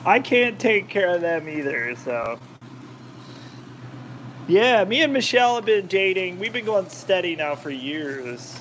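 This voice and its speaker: A man's voice: sits high, sing-song, measured pace, fairly bright tone, slight background noise, poor audio. A man rambling and joking with his live chat while cooking in his home kitchen. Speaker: cooking vlogger. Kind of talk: casual cooking livestream chat.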